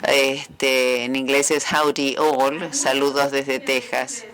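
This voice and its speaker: A man interpreting a medical lecture into Spanish in a steady, fluent delivery. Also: mid-range voice, slow pace. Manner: steady, even, fluent delivery with "este" fillers.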